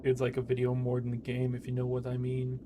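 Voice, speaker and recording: A man's voice: mid-range voice, completely flat delivery, quick pace, noisy background. A man making casual comments while playing a video game. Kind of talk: casual let's-play chat.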